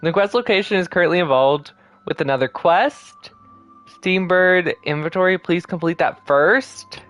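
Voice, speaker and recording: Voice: mid-range voice, normal speed, a bit bright. A game streamer giving casual, chatty gameplay commentary. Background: game music.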